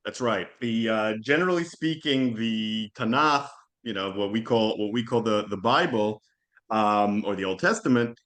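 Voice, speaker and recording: A man whose first language is Hebrew, speaking as a podcast guest in a thoughtful, halting way with frequent restarts. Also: fairly low pitch, fairly bright tone. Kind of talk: conversational interview.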